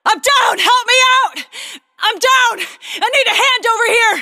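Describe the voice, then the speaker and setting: A woman's voice: extremely high pitch, moderate pace, pushed and bright, heavily acted. An actress playing a tough female soldier calling out urgent, clipped military warnings in a video game. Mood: urgent shouting. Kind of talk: video game combat barks.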